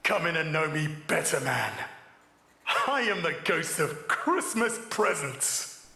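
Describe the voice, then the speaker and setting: A jolly giant spirit, hearty and exclamatory, high and swooping pitch, echoey sound. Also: male voice, big pitch swings, slow, gravelly, sharp tone, heavily acted. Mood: jovial. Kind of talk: audio drama acting. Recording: echoey with reverb.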